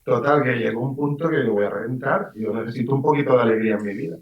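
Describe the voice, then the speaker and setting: Middle-aged Valencian-man, a novelist on radio, mid-pitched, lively teller of anecdotes, heard back in a roomy space. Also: quick pace, distant mic, muffled recording. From Valencia region, Spain. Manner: lively storyteller, acts out voices in quotes. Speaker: writer being interviewed. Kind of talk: casual radio interview. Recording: roomy, off-mic radio sound.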